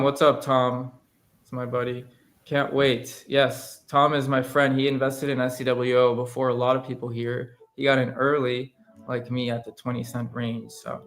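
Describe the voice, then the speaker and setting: A man's voice: mid-range voice, fairly bright tone. A man hosting a livestream in a casual, playful and joking manner. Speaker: livestream host. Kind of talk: casual chat.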